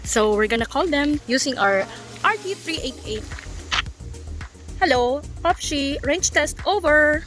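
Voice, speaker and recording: A woman's voice: high voice, animated, a little slow, fairly bright tone, soft background music. A woman with a Filipino accent giving casual, cheerful vlog commentary outdoors. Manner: giggly, cheerful. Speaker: vlogger reviewing a product. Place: outdoors in a forest.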